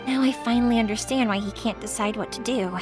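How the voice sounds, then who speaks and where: A woman's voice: on the high side, quick pace, music in the background, close to an everyday voice. A woman voicing a cheerful, caring young fireworks maker in a game cutscene. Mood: thoughtful. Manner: lively, caring, determined. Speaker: main character.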